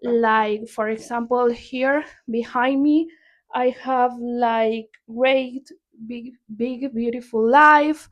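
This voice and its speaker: Young Argentine woman, native Spanish speaker, casual vlog chat, slightly high voice, slow and chatty. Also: fairly even intonation, highs cut off. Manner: unhurried, chatty, restarts and corrects herself often. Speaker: book vlogger.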